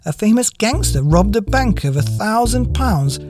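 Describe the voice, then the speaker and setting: Very animated male storyteller. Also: sits high, normal speed, music in the background. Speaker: audiobook reader. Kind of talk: scripted children's story narration.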